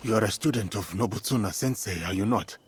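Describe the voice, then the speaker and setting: A man plays a principled, guarded samurai warrior in a video game. His voice is fairly low, and he speaks gravely in clipped, formal sentences over faint ambient noise. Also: fast, lightly acted. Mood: grave, earnest. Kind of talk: video game cutscene acting.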